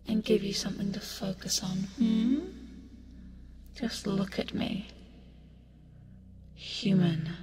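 A woman playing a seductive, teasing sleep demon in an ASMR roleplay, breathy and often whispering, creepy yet soothing as she coaxes. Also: medium pitch, a little mellow. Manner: slow, taunting, coaxing with pet names. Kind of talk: ASMR roleplay, hypnotic bedtime delivery. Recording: long reverb, music behind. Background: music.

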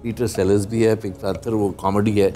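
A man's voice: fairly low pitch, flat delivery, brisk, dark tone, soft background music. A man on a studio panel, eagerly agreeing and echoing words during a quiz. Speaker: studio co-host. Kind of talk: casual chat. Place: TV studio set.

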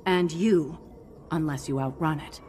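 A woman playing a grave, resolute alien general who briefs pilots in a measured, commanding way in a video game cutscene. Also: low voice, a little mellow, faint music behind. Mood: urgent, resolute.

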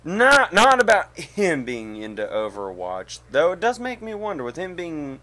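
A young man hosts a casual video chat in a bright, forward voice. He rambles and halts as he goes. It is picked up by a webcam mic in a small room. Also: a little high, huge pitch swings, normal speed. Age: young adult. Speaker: video host.

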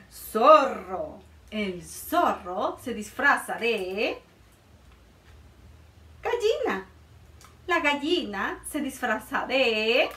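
A woman in her thirties reading a picture book aloud to children in a playful, expressive way, in a small room. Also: a little high, reverberant, far from the mic. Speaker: children's librarian. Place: small room indoors, before a board.

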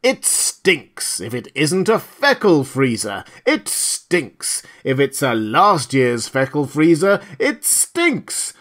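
A man voicing an enraged, sneering advertising pitchman in an audiobook, howling sales slogans in a harsh voice. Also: huge pitch swings, takes it slowly, few pauses, fairly bright tone, heavily acted. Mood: sneering, contemptuous. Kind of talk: dramatised science-fiction audiobook.

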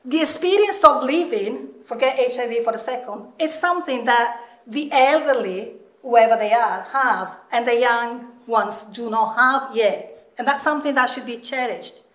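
Middle aged woman w southern european accent doing an informal seminar talk, highish voice, really echoey room. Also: moderate pace, fairly bright tone. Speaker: seminar speaker, researcher. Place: indoor seminar room with seated audience. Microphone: microphone on a stand. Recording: echoey room, some distance from mic.

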